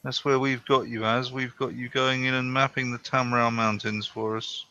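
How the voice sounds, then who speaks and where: Casting: man playing a gravelly, officious port governor checking papers, bright tone. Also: medium pitch, level tone, normal speed, dry recording, highs cut off. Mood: brisk, officious. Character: brisk colonial port governor, middle-aged man, officious.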